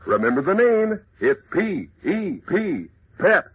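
Male pitchman, folksy and chummy, animated intonation, husky edge, narrow old radio sound. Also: slow pace. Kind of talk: 1940s radio commercial aimed at children.

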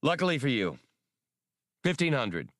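A man's voice: medium pitch, sing-song, unhurried, fairly bright tone, no echo, clean studio sound, voice pushed up. A man voicing a cocky male space smuggler pilot who talks brashly and haggles. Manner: brash, bargaining.

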